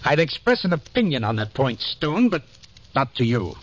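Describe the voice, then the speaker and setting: A man's voice: mid-range voice, very animated, normal speed, rough recording. A man playing a haughty, irritable, scheming theater man in a 1950s radio drama, with pompous formal speech. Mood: haughty, irritated.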